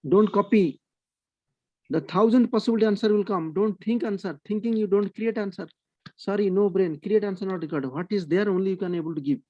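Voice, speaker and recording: A man's voice: sits high, a little fast, warm tone, no echo, dull audio. A man teaching an online class, mixing Hindi and English and coaxing his students to speak up. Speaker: Sanskrit teacher. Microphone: video call.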